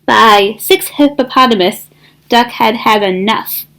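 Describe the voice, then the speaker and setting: A woman narrates a children's picture book in a fairly high voice. Her delivery is very unhurried, deliberate and expressive, as in a read-aloud for young listeners. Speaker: school counselor reading aloud.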